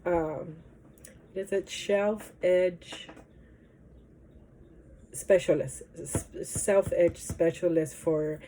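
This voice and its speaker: A woman talks to her camera in a loose, meandering way, full of pauses and fillers. Her nose is stuffed up from allergies, and her low voice has a mellow, soft tone. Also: fairly even intonation, a little hiss or hum, rough recording. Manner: rambling, hesitant, many fillers. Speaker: vlogger. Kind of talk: casual chat to camera.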